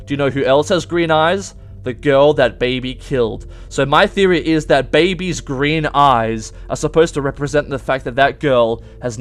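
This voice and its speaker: A man's voice: fairly high pitch, fairly bright tone. A young adult man hosting casual game theory commentary, addressing viewers directly and emphatically. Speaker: YouTube game theory host.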